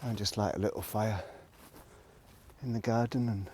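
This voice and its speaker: A man talking casually to a vlog audience in a reflective, rambling monologue, musing haltingly and trailing off between thoughts. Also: lowish voice, steady tone, warm tone. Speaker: vlogger. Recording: noticeable background noise, clicks.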